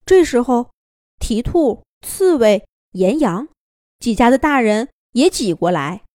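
A woman storyteller reading a children's fairy tale in an expressive, lilting manner. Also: fairly high pitch, slow, dry recording, clean studio sound.

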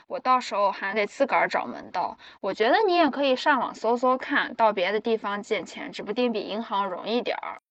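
A woman's voice: medium pitch, dry recording, dull audio, natural voice. A woman playing a chatty manual labourer friend, breezy and folksy, in an amateur radio drama.

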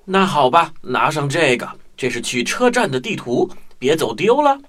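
A woman's voice: low-pitched, sing-song, normal speed. A woman playing a bold, boastful young male city mouse in a children's audio drama. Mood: coaxing. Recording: music under the voice.

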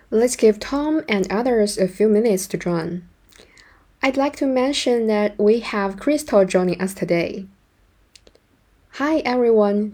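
A woman's voice: mid-range voice, dark tone. A woman working as an English teacher, narrating a scripted language lesson and modelling example phrases in an instructional way.

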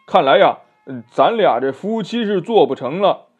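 A man's voice: high voice, big pitch swings, slow, mellow, exaggerated voice. A man voicing a boastful but cowardly male pig demon in a storybook tale, stammering and blustering with sneering chuckles. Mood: alarmed. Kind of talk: children's storybook character voice. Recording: muffled lo-fi audio with music behind.

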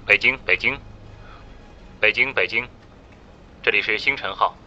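Low, sharp-voiced astronaut. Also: male voice, unhurried, highs cut off. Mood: businesslike. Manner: clipped, procedural reporting. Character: 35-year-old male astronaut piloting a rescue spaceship, businesslike. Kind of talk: radio drama acting, formal radio reporting. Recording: noisy spacecraft radio link.

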